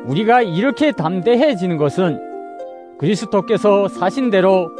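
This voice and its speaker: A man reading scripture as a scripted dramatic audio narration, in a measured, declamatory manner. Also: high-pitched. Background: music.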